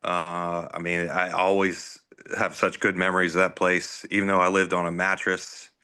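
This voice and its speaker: A man's voice: sits low, completely flat delivery, moderate pace, sharp tone, clean tone. A forty-year-old man chatting casually as a guest, informal and easygoing in his way of talking. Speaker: former ballplayer, guest. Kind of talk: casual chat.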